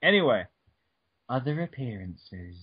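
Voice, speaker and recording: A man's voice: medium pitch, sing-song, measured pace, husky, dry recording. A man giving an over-the-top dramatic reading of an article, stumbling over words and breaking off for joking asides, on a dull lo-fi home recording. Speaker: reader. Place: room at home.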